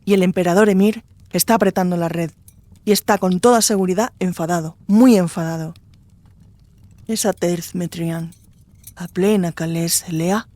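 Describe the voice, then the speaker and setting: A woman playing a proud, sharp-tongued captive sorceress in an audiobook drama, with a scornful, biting manner. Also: fairly low pitch, steady tone, moderate pace, long pauses, no echo, lightly acted. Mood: taunting.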